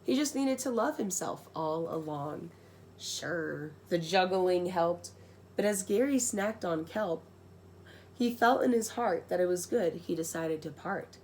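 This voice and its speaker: A woman's voice: on the low side, expressive, measured pace, a little mellow, slight background noise. A young adult woman reading a rhyming children's storybook aloud, breaking into slangy, casual, giggly asides.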